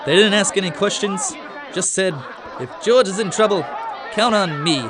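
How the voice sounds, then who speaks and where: A man playing a flushed, excited uncle bursting with good news in a radio drama. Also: high-pitched, normal speed, natural voice. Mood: excited, jubilant. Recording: busy crowd noise behind. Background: crowd bustle.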